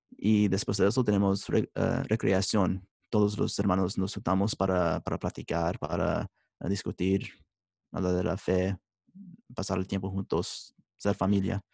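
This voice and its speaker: A young man, a religious brother studying for the priesthood, speaking Spanish as a third language and answering in a halting way with many restarts. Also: sits low, fairly even intonation, vocal fry, darkish tone, no room sound, clean studio sound. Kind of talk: interview answers.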